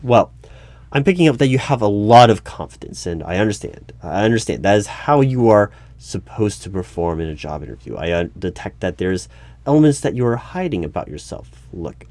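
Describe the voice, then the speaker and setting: A man playing a wary, probing hiring manager in a one-sided audio roleplay, speaking haltingly with stammers and repeats. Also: fairly low pitch, very animated, darkish tone, no echo. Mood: wary, suspicious. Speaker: hiring manager at a secret agency. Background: computer keyboard typing.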